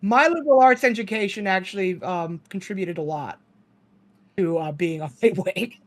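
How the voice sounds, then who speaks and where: A man's voice: high-pitched, moderate pace. A man who grew up in Ukraine, a podcast guest in casual chat, rambling and sardonic, laughing often.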